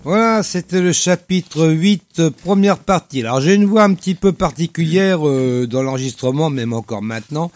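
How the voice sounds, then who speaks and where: A man with a cold and a slightly hoarse voice, hosting a radio quiz and reading Bible passages aloud with joking asides. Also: a little high, expressive, moderate pace, hardly any pauses, a little mellow, soft background music. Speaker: radio host. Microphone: studio microphone.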